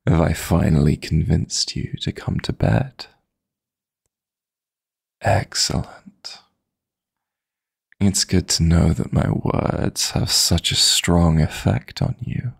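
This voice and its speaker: Man voicing a low, gravelly seductive demon lover. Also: exaggerated voice. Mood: seductive, coaxing. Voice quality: breathy, gravelly. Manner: seductive, coaxing, deliberate. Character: possessive, teasing male dream demon lover. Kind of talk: intimate roleplay sleep-aid audio, slow and soft.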